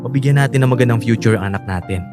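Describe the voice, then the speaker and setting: A man's voice: mid-range voice, racing, lightly acted. An actor playing a doting, easygoing young husband and expectant father in a Filipino radio drama. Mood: tender. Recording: music behind the voice.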